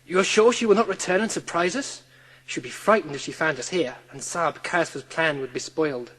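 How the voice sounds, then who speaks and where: A man playing a brisk, practical secretary with a businesslike, clipped manner in a dramatised audiobook. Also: a little high, fairly bright tone, rough recording. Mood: brisk, disapproving. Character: brisk, practical gentleman's secretary surveying a room.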